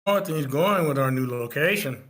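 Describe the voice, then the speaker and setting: Man reading gentle middle-aged therapist, fairly high voice. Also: animated, fairly quick. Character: probing, gentle male therapist of fifty-six. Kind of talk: screenplay table read.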